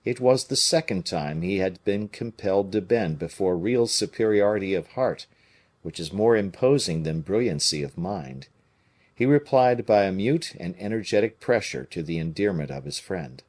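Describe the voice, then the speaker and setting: A man's voice: deep voice, expressive, normal speed, darkish tone. A man reading literary narration as an audiobook narrator.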